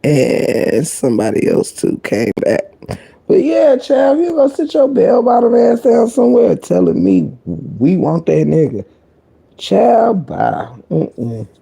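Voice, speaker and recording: A woman with a deep, croaky voice giving sassy, blunt live-stream gossip commentary, full of cursing and kept low so she stays quiet. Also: very animated, slow pace. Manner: talking low to keep quiet.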